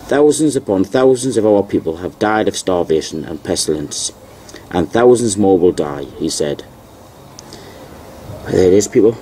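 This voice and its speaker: A man narrating as he reads old newspaper articles aloud, deliberately, repeating key phrases. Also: deep voice, expressive, warm tone, a little hiss or hum, rough recording. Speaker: video narrator.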